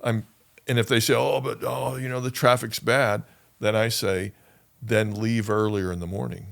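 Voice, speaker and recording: A man hosting an interview, speaking in a measured, emphatic way and repeating his key points. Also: fairly low pitch, flat delivery, creaky. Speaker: podcast host and interviewer. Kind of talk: conversational interview.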